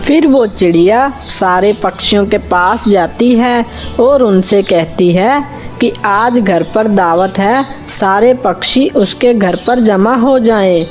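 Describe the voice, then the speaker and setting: A woman's voice: medium pitch, takes it slowly, a little mellow. A woman reading scripted narration for a children's story, with music behind her. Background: faint music.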